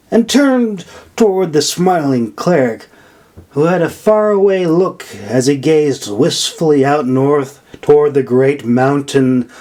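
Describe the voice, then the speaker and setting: A man's voice: medium pitch, darkish tone. A man narrating a fantasy audiobook from a script, as the author of the story. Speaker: author reading own audiobook.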